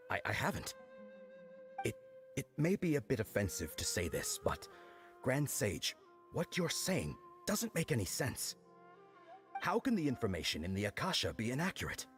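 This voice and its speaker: An actor playing a timid, deferential male guard in a video game, formal, apologetic and prone to stammering. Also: medium pitch.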